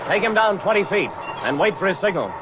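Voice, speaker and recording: A brisk, quick-talking navy tender. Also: male voice, high voice, sing-song. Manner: brisk, respectful, commanding. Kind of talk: 1940s radio drama acting. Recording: dull lo-fi radio recording, noisy background.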